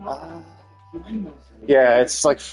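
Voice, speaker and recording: Guy streamer mumbling thru game stats, kinda halting, midrange voice, music playing behind him. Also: medium pitch, fairly even intonation, a little mellow. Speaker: live streamer. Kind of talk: casual gaming stream commentary, thinking aloud.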